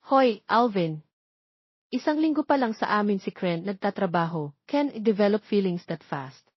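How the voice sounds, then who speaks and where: A woman's voice: medium pitch, animated, normal speed, breathy edge. A woman voicing a curt, defensive, grumpy billionaire man in a romance story. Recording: muffled lo-fi, roomy echo.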